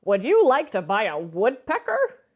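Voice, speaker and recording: A woman voicing a polite, eager travelling pet seller, a man, in a children's storybook, with a questioning sales-pitch manner. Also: wildly swooping pitch, a little slow, slightly rough, narrow, tinny audio, exaggerated voice. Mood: eager, offering. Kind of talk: children's storybook read-aloud.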